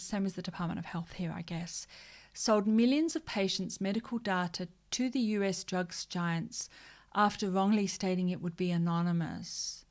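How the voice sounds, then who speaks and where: A woman hosting a social media news livestream. She talks casually, slips into joking rants, and mutters quiet asides to herself while she looks for things on screen. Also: medium pitch.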